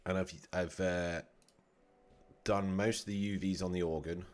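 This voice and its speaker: A man with a slightly croaky, scratchy throat, casually thinking aloud as he streams a tutorial, with fillers and restarts. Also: low voice, fairly even intonation, unhurried. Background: soft background music.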